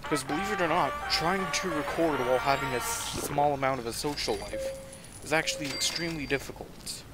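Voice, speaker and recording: A man casually commenting on his own gameplay at home, talking quietly and rambling, with the game's sound underneath. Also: fairly high pitch, brisk, loud music behind the voice. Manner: soft-spoken, rambling. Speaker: gaming channel host.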